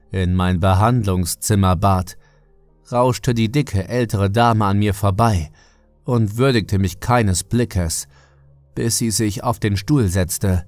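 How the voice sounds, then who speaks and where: A man's voice: on the low side, a little mellow, no room sound. A man reading a scripted first-person horror story as an audiobook narrator. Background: music.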